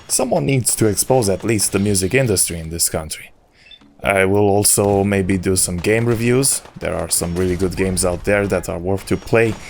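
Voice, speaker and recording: Low-voiced opinionated man ranting. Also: darkish tone, slight background noise. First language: not English. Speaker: YouTube channel host. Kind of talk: solo audio log monologue.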